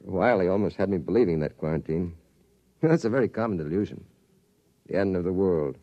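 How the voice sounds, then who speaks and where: Deep-voiced, calm military doctor. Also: male voice, fast talker. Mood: musing, curious. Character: calm, kindly military doctor, quietly curious. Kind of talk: 1950s radio drama acting. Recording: muffled 1950s radio recording.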